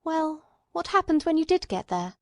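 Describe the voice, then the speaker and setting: Courteous but insistent gentleman investigator, read by a woman: highish voice, formal questioning, dry storybook sound. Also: big pitch swings, normal speed, dry recording. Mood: pressing. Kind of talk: dramatic audiobook character reading.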